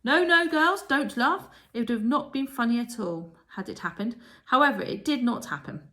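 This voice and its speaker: A woman's voice: fairly high pitch, expressive, normal speed, bright, forceful tone, voice pushed up. A woman voicing a kindly, commanding headmistress who makes formal proclamations in a children's storybook. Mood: proclaiming, commanding. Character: kindly, commanding old headmistress addressing her pupils.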